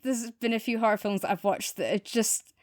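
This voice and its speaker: A woman's voice: on the high side, fairly quick, dry recording, studio quality. A woman co-hosting a podcast, talking casually through a review.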